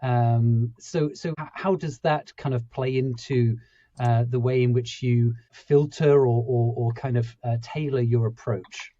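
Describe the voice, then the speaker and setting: A man's voice: mid-range voice, fairly even intonation, unhurried, dark tone, dry recording. A man hosting a podcast interview, thinking aloud with many restarts and fillers. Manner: rambling, full of restarts and fillers. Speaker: podcast host. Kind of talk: conversational interview. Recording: dull, dry lo-fi audio.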